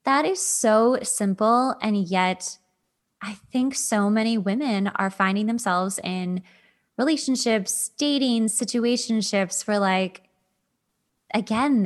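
A woman's voice: mid-range voice, a bit bright. Casual female podcast host, informal and chatty. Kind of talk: casual chat.

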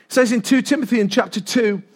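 A middle-aged man with an English accent preaching an informal, humorous sermon in a loud, animated, conversational manner, repeating phrases for emphasis. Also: very high voice, fast.

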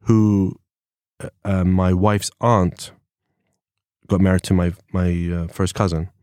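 A man with an English accent and a very low voice, chatting as a podcast guest. He wanders from point to point with lots of ums and restarts, and the studio sound is close and dry. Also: level tone, slow pace, a little mellow, clear, resonant tone, dry recording. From England. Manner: chatty and digressive, many fillers and restarts. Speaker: podcast guest, former police officer. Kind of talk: casual conversation. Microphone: studio microphone.

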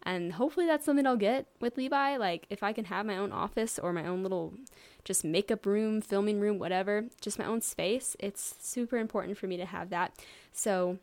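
A woman's voice: medium pitch, brisk, a little mellow. Chatty young woman rambling casually. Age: 20s. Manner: chatty, rambling, frequent likes and you knows. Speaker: podcast host. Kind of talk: casual solo chat.

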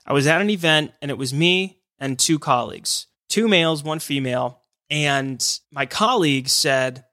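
Man, hearing care professional, earnest and encouraging, educational podcast talk, fairly high voice, dry close sound. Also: normal speed, pushed and bright, no echo.

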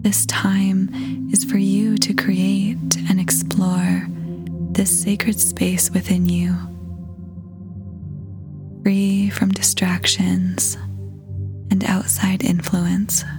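A woman leading a scripted guided meditation in a soft, breathy, whispery voice, soothing and gentle, with long pauses. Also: mid-range voice, flat delivery. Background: music.

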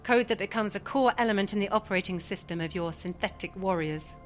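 An actress playing a cynical, defiant doctor and former army chaplain in a radio drama, speaking in a pointed, argumentative way. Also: female voice, mid-range voice, fast, faint music behind, natural voice. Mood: accusing, cynical.

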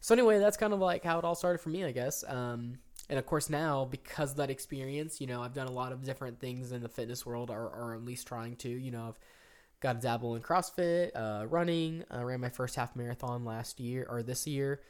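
A man around thirty, co-hosting a casual chat podcast with a middle-range voice. He talks at length, filling pauses with ums and uhs and restarting sentences. Now and then he lifts into lively, excited bursts. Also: medium pitch, clear, resonant tone. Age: 30s. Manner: rambling, lots of ums and restarts, animated bursts. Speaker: podcast co-host.

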